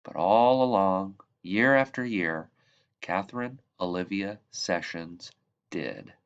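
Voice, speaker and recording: A man reading a children's book aloud as a narrator, with measured, expressive phrasing and emphasis on key words. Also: low-pitched, clear, resonant tone, dull audio. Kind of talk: read-aloud storybook narration.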